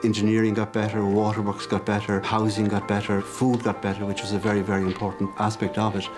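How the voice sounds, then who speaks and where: Man with a lowish voice explaining history stuff calmly, music under it. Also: fast talker. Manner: steady, explaining, repeats for emphasis. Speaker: expert interviewee. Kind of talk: explanatory interview.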